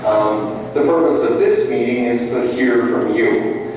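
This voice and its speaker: A man's voice: mid-range voice, measured pace, darkish tone, slight background noise. Man presenting in a big echoey hall. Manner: informal, chatty, with many fillers and restarts. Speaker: meeting presenter. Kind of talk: public meeting presentation. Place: large school meeting room. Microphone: handheld mic held at a distance. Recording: far-off echoey hall with mic feedback.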